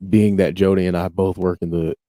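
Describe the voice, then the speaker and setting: A man's voice: sits low, level tone, a little fast, mellow, dry recording, studio quality. A man hosting a podcast in an easygoing casual chat, with frequent fillers. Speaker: podcast host.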